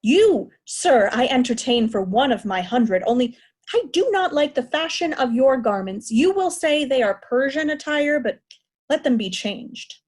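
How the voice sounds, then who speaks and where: A woman's voice: sits high, lively intonation, measured pace, darkish tone. A woman playing a mad, commanding old king in a Shakespeare reading. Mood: distracted, weary. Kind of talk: remote reader's theatre Shakespeare.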